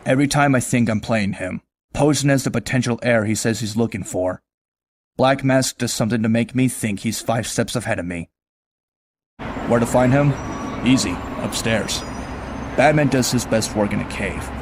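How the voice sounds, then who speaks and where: A man's voice: medium pitch, normal speed, a little hiss or hum, close to an everyday voice. A man voicing a brooding young vigilante antihero in a comic-book cartoon, speaking in a dry, sardonic way. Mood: wry, wary. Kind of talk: comic-book cartoon acting with inner monologue. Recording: music behind the voice. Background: music.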